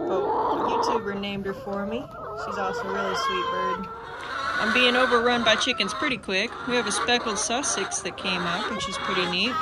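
Young woman, mid-pitched, casual farm vlogger on a handheld phone outdoors, chickens clucking clearly behind her. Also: heavy background noise. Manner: chatty, talks to her chickens in a soft coaxing voice. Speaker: homestead vlogger. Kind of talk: casual vlog narration. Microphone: phone camera held at arm's length. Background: clucking chickens and roosters.

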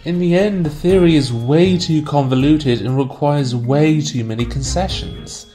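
A man's voice: on the high side, measured pace, mellow. Man narrating analytically over background music. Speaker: video essayist, host. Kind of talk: scripted explanatory narration.

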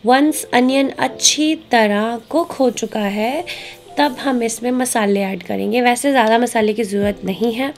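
A woman's voice: on the high side, a little slow, soft background music. A woman, chatting casually and instructively as she narrates a recipe, switching in English words. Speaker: cooking vlog host. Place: home kitchen, indoors.

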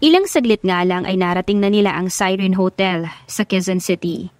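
A woman's voice: mid-range voice, moderate pace, no room sound. A woman reading scripted narration of a love story into a close microphone.

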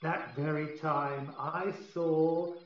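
A man's voice: a little high, fairly even intonation, extremely slow. A man playing a jealous, imperious, scheming fairy king in a Shakespearean radio play, with theatrical, commanding delivery. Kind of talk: Shakespearean radio drama verse. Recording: very echoey, distant, muffled, music behind. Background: music.

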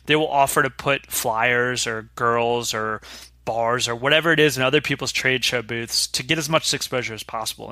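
A man in his thirties co-hosting a business podcast, telling stories in a relaxed, step-by-step way. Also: mid-range voice, dry recording. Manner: relaxed storytelling, explaining step by step. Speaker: podcast co-host. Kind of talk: casual business podcast chat.

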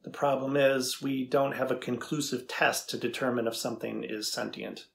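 Casting: middle-aged male researcher acted by a man, thoughtful and inquisitive, creaky husky voice. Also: medium pitch, steady tone. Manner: deliberate, inquisitive. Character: thoughtful, curious male AI researcher interviewing a machine. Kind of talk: dramatized transcript reading. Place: indoors before a studio backdrop.

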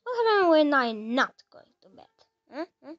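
A young woman in casual, halting commentary while playing a horror game, talking to herself. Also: high-pitched, big pitch swings, muffled recording. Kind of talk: casual let's-play commentary.

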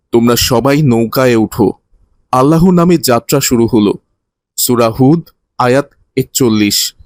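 A man's voice: medium pitch, darkish tone, no echo, natural voice. A man playing a kindly, didactic teacher who tells moral religious stories to children in a cartoon. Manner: didactic, earnest.

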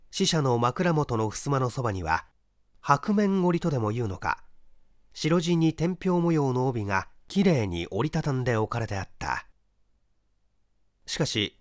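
A man reading a mystery story aloud as dramatic narration, measured and expressive with deliberate pauses. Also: medium pitch, no room sound, studio quality. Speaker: story reader, stage actor.

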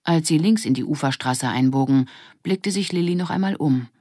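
A woman's voice: low-pitched, normal speed, warm tone, no echo, clean studio sound. A woman reading a scripted children's story as an audiobook narrator.

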